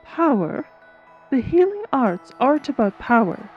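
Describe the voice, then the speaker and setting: A haughty female master healer in a fantasy drama, acted by a woman. She is stern, formal and commanding in a fairly high voice, slightly dulled by the recording, with music underneath. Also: big pitch swings, unhurried. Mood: stern, scornful. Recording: slightly dull microphone, music behind.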